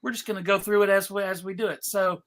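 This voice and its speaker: A host casually running a live event, announcing in a theatrical, mock-serious way with halting pauses. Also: medium pitch, fairly even intonation, fairly bright tone. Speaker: panel host and narrator.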